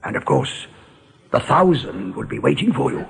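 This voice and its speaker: An actor playing a gruff, worldly rabbit guard in a radio drama, telling things in a colloquial, dramatic, sardonic way. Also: male voice, mid-range voice, sing-song, normal speed, full character voice. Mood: grim relish. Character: gruff male rabbit guard, worldly, grimly relishing storyteller.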